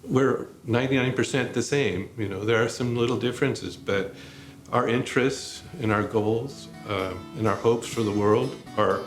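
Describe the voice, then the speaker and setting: A man's voice: mid-range voice, moderate pace, soft background music. A man talking in a plainspoken, conversational way in a documentary interview. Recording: roomy echo.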